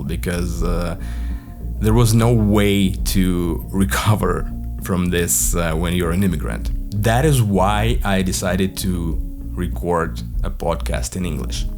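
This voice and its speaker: A young man with a thick Russian accent talking alone on his podcast, halting with many fillers and a wry, dark humor. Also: deep voice. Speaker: podcast host, comedian. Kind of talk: reflective solo podcast monologue. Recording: music playing under the voice. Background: guitar music.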